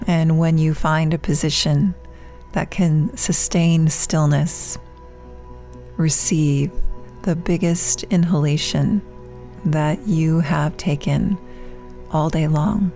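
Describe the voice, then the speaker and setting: A woman leading a guided meditation as a yoga nidra teacher, speaking in hushed, soft phrases with long pauses, turning breathy at phrase ends. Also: sits low, fairly even intonation, faint music behind. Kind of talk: guided meditation, soothing instruction.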